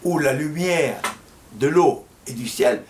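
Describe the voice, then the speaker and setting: An older man from Italy, a painter speaking French with an Italian accent, who reflects on his life and his paintings in a halting, heartfelt way, stumbling over repeated words. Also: fairly high pitch, lively intonation, very noisy. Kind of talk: reflective personal monologue. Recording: roomy echo.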